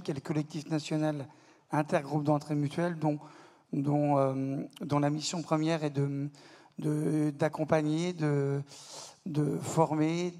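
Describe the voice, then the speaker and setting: Halting man with flat, higher voice. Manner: halting, many repeated words and fillers. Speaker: delegate of a mutual-aid network, panel speaker. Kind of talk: conference panel talk. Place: conference hall.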